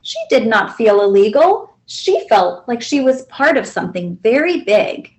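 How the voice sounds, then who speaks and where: A woman reads a picture book to children. Her voice is high and very varied in pitch, and she tells the story deliberately and expressively. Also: big pitch swings. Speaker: librarian reading aloud.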